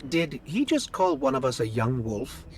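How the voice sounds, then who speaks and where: A man's voice: mid-range voice, sing-song, normal speed, slight background noise. A man voicing an upbeat, curious robotic guide in a cinematic game, with a wry, quippy delivery.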